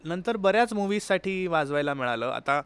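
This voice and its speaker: A man's voice: high voice, expressive, fairly quick, fairly bright tone, smooth. A man, an interview guest explaining his experiences conversationally and mixing in English words. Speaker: interview guest, sitar player.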